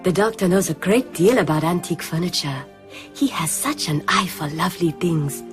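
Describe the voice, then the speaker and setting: An actress playing a gushing, over-polite nurse who acts as a genteel hostess in a radio drama. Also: female voice, fairly low pitch, normal speed, faint music behind, lightly acted. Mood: effusively welcoming.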